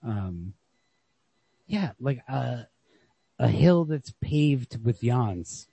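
A man's voice: mid-range voice, animated, extremely slow, creaky, dark tone, breathy edge, no echo. A man in a casual literary chat as a podcast host, musing and thinking aloud with frequent swearing. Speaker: podcast host discussing a short story. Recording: lo-fi podcast recording.

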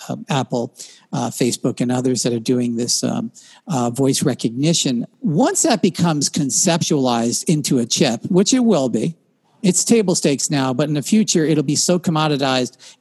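Guy on a tech podcast, rambly, lots of uh and um, mid pitch. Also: darkish tone. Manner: rambling, many fillers and restarts. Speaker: podcast co-host. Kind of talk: casual tech chat.

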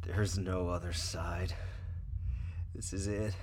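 Actor as distraught gunman, lowish male voice. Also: little pitch movement, takes it slowly, darkish tone, slight background noise. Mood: bleak, defeated. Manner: agitated, insistent, short blurted phrases. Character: distraught, defensive gunman, guilt-ridden reluctant killer. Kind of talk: radio theatre acting.

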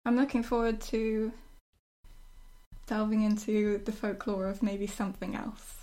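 A woman talking casually and reflectively as a writer discussing her work, often halting as she thinks aloud. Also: medium pitch, little pitch movement, dark tone. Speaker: writer discussing her own storytelling project.

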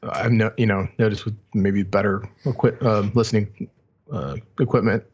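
A man's voice: lowish voice, steady tone, moderate pace, a little mellow, slightly rough, muffled recording. A man co-hosting a podcast, giving a reflective review of music in casual chat as he feels his way through his thoughts. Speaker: podcast co-host.

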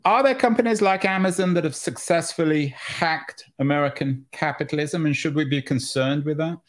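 A man hosting a conversational interview, building up to his questions in halting, hesitant phrases. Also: fairly high pitch. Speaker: interview host.

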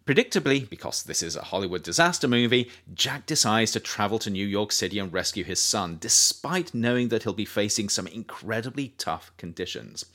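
A man reading his own nonfiction book aloud as an audiobook, with measured delivery and emphatic rises on key phrases. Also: fairly low pitch, fairly bright tone. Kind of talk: audiobook narration.

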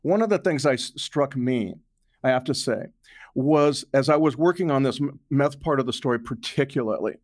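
A man's voice: medium pitch, expressive, moderate pace, dry recording, studio quality. A man speaking as an interview guest, explaining conversationally and emphatically, repeating words for stress. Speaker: guest, author and journalist.

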